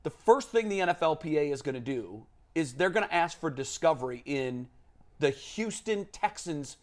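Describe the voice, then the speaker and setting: Guy hosting sports talk, voice a bit on the high side, argues hard, asks rhetorical questions. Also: animated, normal speed. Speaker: sports talk show host. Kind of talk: sports panel discussion.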